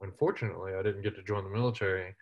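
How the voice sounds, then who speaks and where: Man in his thirties, humorous storyteller, low voice, muffled recording. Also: fairly even intonation, very fast. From West Texas. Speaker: interview guest, military veteran. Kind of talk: storytelling in casual interview.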